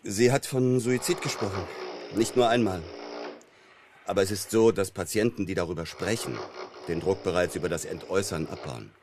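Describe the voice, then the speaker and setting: An actor playing an earnest, hesitant psychologist in a naturalistic radio crime drama, speaking in a measured, explanatory way. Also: male voice, low-pitched, background noise, natural voice. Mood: earnest, explaining.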